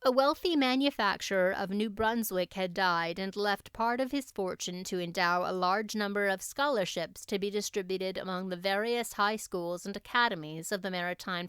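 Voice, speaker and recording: A woman's voice: medium pitch, normal speed, fairly bright tone, clean tone, no room sound, studio quality. A woman audiobook narrator reading a classic novel expressively.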